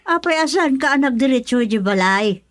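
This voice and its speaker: A woman's voice: sits high, lively intonation, moderate pace. A woman playing a worried, fretful mother in a radio drama, with a Filipino accent. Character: worried, fretful mother fearing trouble for her son. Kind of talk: Ilocano radio drama acting.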